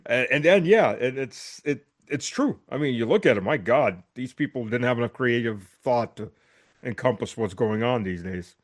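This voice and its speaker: A man in casual conversation who laughs easily and often stumbles over his words. His voice sits at an ordinary pitch. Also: expressive, normal speed. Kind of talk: casual chat.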